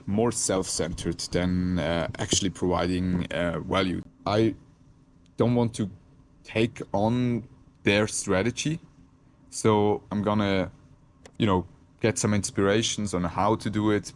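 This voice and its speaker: A man's voice: lowish voice, unhurried, a little hiss or hum. A man from Switzerland, a native Swiss German speaker with a Swiss German accent, talking reflectively to camera, hesitant and searching for words with frequent restarts. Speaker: content creator. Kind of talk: reflective casual talk to camera.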